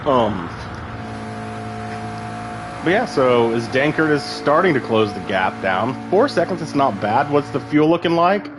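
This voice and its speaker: A man's voice: medium pitch, big pitch swings, unhurried, pauses often, over music. A man giving casual, rambling live commentary on an online sim race, full of fillers and asides.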